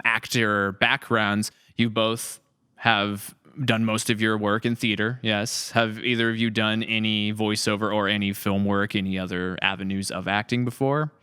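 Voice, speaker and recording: A man's voice: on the low side, steady tone, moderate pace, sharp tone, clean tone, no echo, studio quality. A man hosting a casual podcast interview, asking questions and responding in an encouraging, affirming way. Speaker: podcast host.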